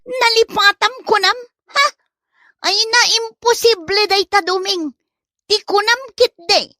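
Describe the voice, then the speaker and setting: A woman's voice: very high-pitched, a little slow, bright, forceful tone, no echo, clean studio sound, exaggerated voice. A woman playing a suspicious, nagging wife in an Ilocano comedy drama, scolding and exclaiming. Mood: exasperated scolding. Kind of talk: comedy radio-style drama acting.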